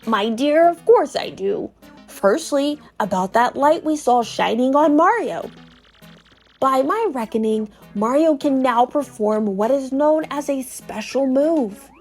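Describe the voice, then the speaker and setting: A woman's voice: sits high, expressive, a little slow, slightly rough, faint music behind, full character voice. A woman acts out an older male professor creature from a cartoon game. He is scholarly and fussy. He ponders, then explains in formal, earnest phrases. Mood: confident, explaining.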